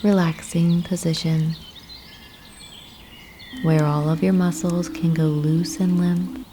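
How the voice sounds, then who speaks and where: A woman narrating a guided meditation, giving gentle, soothing instructions with unhurried pauses. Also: on the low side, flat delivery, noisy background. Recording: clear nature ambience with birdsong. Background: birdsong and nature ambience.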